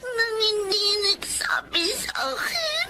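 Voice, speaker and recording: A woman's voice: extremely high pitch, lively intonation, takes it slowly, background noise, exaggerated voice. A woman voicing a sad, polite little girl in a Filipino radio drama, tearful and childlike with a Filipino accent. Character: sad, polite sickly little girl missing her father.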